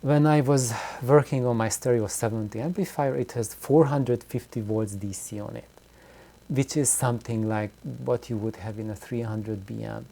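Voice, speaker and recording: A middle-aged man giving an unscripted, reflective explanation to viewers, haltingly and with words repeated for emphasis. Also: mid-range voice, fairly even intonation, vocal fry, mellow. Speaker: audio hobbyist video host.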